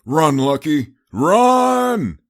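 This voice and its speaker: A man's voice: on the high side, sing-song, drawn-out words, pitched above the performer's own voice, heavily acted. A man voicing an ailing, self-sacrificing adult stallion, out of breath, in an audiobook drama. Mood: terrified shouting. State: out of breath, coughing. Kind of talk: audiobook character acting.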